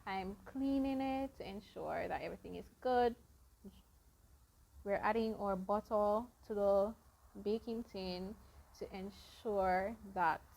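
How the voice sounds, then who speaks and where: A woman hosting a casual cooking vlog, explaining each baking step to her viewers in an unhurried way. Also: medium pitch, gravelly, darkish tone. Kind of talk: casual baking demonstration narration.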